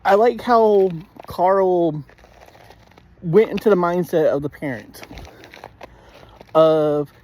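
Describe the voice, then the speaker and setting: A man giving a casual spoken episode review in a halting way, often clearing his throat. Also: high-pitched, a little mellow.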